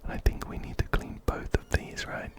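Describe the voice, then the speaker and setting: A man whispering softly and breathily right up close to a binaural microphone, soothingly repeating phrases as he narrates a game. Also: normal speed. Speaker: ASMR gaming host. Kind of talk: ASMR game playthrough, reading dialogue and narrating. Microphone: close-up binaural ear-to-ear mic.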